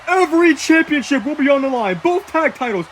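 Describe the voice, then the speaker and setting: A performer playing an excitable, anxious wrestling commentator, giving play-by-play on a noisy home-made recording. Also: sits high, brisk. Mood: earnest, urgent. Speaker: wrestling commentator and ring announcer.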